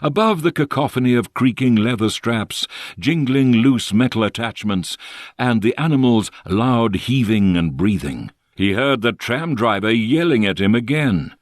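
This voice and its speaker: A man reading a literary story as an audiobook narrator, with measured, expressive storytelling. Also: medium pitch, no room sound, clean studio sound.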